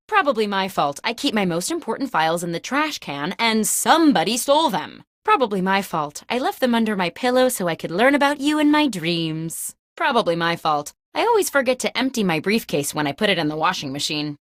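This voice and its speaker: A woman's voice: medium pitch, dry recording, studio quality. A woman playing a peppy, quirky office boss who hosts a comedic video game with mock-corporate patter. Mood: casual, sheepish. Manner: animated, mock-corporate comic delivery. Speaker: video game host character. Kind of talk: scripted comedic game show hosting.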